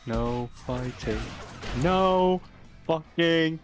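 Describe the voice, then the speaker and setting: A man's voice: a little high, very slow, faint music behind. A man from Italy chatting casually alongside a friend's gameplay, rambling and laid-back. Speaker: co-host friend. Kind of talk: casual chat.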